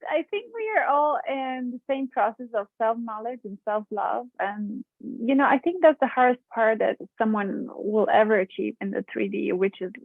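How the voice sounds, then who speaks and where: Woman from Romania, podcast host, slightly high voice, hesitant with fillers, tinny dry sound. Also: moderate pace, creaky, hardly any pauses, no echo. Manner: hesitant, many fillers, laughs often. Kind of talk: casual interview chat.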